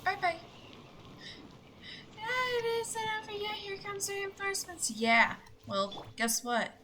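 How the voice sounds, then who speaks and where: A woman's voice: extremely high pitch, sing-song, takes it slowly. A young woman giving casual, playful and slightly sarcastic commentary while streaming a video game. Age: young adult. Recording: clear game noise behind voice.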